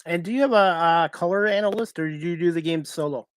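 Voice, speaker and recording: A man hosts a sports talk show. His voice sits a little high, and the sound is dry with no room around it. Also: quick pace, smooth, dry recording.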